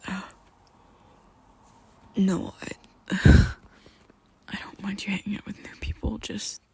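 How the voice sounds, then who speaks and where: A woman voicing a shy, tender young male vampire best friend in an ASMR roleplay, whispering softly with hesitant, halting speech. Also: medium pitch, little pitch movement, vocal fry, husky, full character voice.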